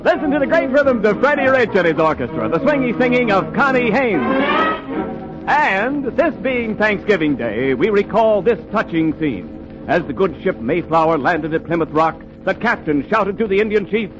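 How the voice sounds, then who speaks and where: Man, 1940s radio announcer, high pitch, emphatic ad read, muffled old recording. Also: expressive, moderate pace, loud music behind the voice. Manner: emphatic salesman delivery.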